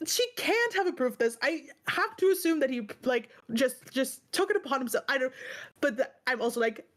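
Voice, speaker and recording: Female, high voice, stressed-out and exasperated, telling a rambling, emphatic story in casual chat. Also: lively intonation, normal speed. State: stressed and upset. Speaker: book club stream co-host.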